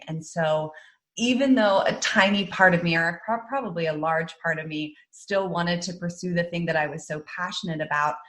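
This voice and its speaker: Earnest, lower-voiced woman guest. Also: fairly bright tone, poor audio. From Netherlands. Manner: reflective, earnest, many fillers like um and you know. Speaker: guest, author and entrepreneur. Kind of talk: casual interview chat.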